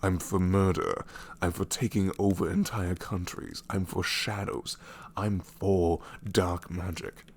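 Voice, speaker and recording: A man playing a sassy gravelly shadow demon. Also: low-pitched, fairly even intonation, takes it slowly, a little hiss or hum. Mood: exasperated sarcasm. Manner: sarcastic, incredulous, grumbling. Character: sassy exasperated male shadow demon, ancient. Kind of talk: ASMR roleplay audio.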